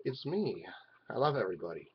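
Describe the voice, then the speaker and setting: A man in his forties talking to a webcam in his living room, rambling and swinging from loud outbursts to low mutters. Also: sing-song, fairly quick, husky. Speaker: vlogger. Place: living room at home, indoors. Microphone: webcam at arm's length. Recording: dull lo-fi webcam audio.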